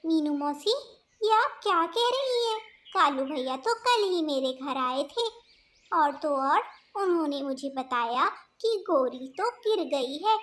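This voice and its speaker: Woman as small cartoon bird lady, sweet-natured, earnest, exclaiming, high voice, animated intonation. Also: heavily acted. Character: kind-hearted, easily fooled little female bird. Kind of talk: Hindi cartoon voice acting.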